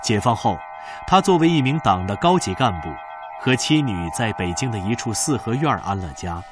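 A man's voice: fairly low pitch, lively intonation, normal speed, over music. A man narrating a radio drama from a script in a formal, solemn, declamatory manner.

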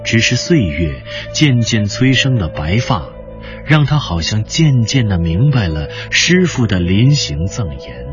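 A man narrating a radio drama in a solemn, measured, storytelling style with dramatic scripted delivery. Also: fairly low pitch, very animated. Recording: muffled lo-fi, music bed behind.

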